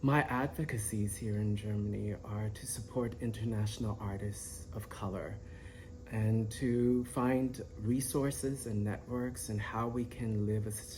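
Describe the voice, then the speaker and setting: A man in his fifties, born in the Philippines, introducing himself as a professor and choreographer in a measured way with small restarts. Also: fairly low pitch, dark tone, a little hiss or hum, rough recording. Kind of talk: self-introduction to camera.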